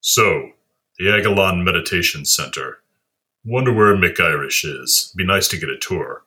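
A gruff, wry magazine man, played by a male actor, in an audio drama. His deep voice has a bright, forceful edge as he pries in short, clipped phrases. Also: lively intonation, measured pace, heavily acted. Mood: gruff, curious. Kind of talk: audio play acting.

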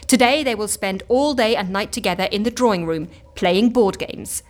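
An actress playing an exasperated, sceptical assistant in a comedy audio drama, dry and easily flustered. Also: female voice, medium pitch, moderate pace, close to an everyday voice. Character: exasperated, sceptical woman assistant at eccentric agency.

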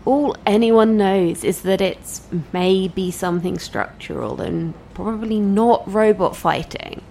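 Creaky-voiced, concerned friend. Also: female voice, mid-range voice, expressive, slow, warm tone, slight background noise. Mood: concerned, gentle. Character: concerned, gently probing woman friend, busy mother. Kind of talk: audio drama acting.

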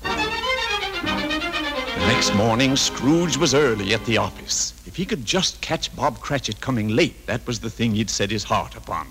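A man narrating a story in genial, expressive old-time radio style. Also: medium pitch, moderate pace, lightly acted, rough recording. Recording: vintage radio broadcast recording. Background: music.

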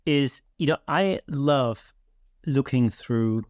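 A man's voice: mid-range voice, slow pace, no echo. Middle-aged man chatting, very dry recording. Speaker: podcast host. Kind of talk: casual conversational interview.

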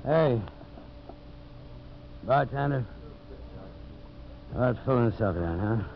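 Rough, blunt boss, muffled old radio. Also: male voice, medium pitch, lively intonation, slow. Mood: drunk, angry. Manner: blunt, forceful, repeats himself. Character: rough, hard-driving logging boss with a troubled conscience. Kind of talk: 1950s radio drama acting. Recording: muffled, noisy 1950s radio recording.

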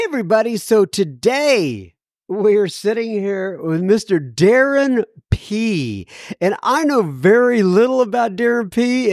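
A folksy American man hosts a podcast in a high-pitched voice. He rambles chattily through his introductions and questions, and the recording is close and dry. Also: dry recording. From United States. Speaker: podcast host. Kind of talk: casual podcast intro and interview.

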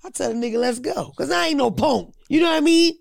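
A woman's voice: high-pitched, fast talker, creaky, no room sound. A woman telling blunt, crude stories in a casual podcast chat, close to the mic.

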